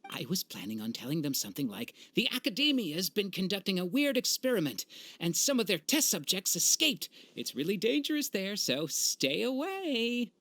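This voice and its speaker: A woman's voice: medium pitch, big pitch swings, moderate pace, fairly bright tone. A woman playing a confident, teasing, tough young mercenary in a video game cutscene. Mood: easygoing.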